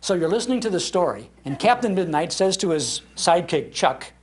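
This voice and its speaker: A man's voice: high voice, a little fast. Older man telling nostalgic stories. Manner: animated storyteller, emphatic repetition. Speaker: radio show host giving a talk. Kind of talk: nostalgic live storytelling talk. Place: public library talk before an audience.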